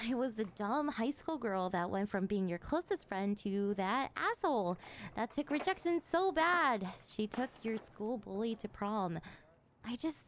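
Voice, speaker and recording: A woman playing a slangy, affectionate, apologetic young skater in an ASMR roleplay, talking haltingly and emotively to an old friend. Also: fairly high pitch, slight background noise. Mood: regretful, tender. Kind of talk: ASMR roleplay acting, casual one-sided dialogue.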